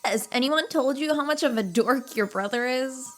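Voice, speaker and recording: A woman's voice: sits high, moderate pace, fairly bright tone. A woman voicing a blunt, curious little filly princess with a sassy, childlike manner. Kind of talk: animated audio drama acting.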